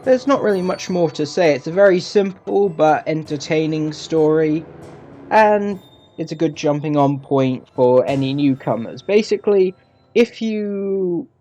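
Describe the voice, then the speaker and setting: A man giving a casual review as a video host, in a halting, self-correcting way. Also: on the high side, warm tone, lo-fi sound. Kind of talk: casual audio drama review.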